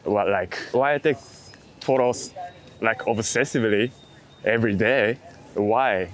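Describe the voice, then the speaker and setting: A man's voice: lowish voice, unhurried, slight background noise. A man being interviewed casually about his work, speaking reflectively in short, hesitant fragments with non-native English phrasing. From outside the US. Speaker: street photographer being interviewed.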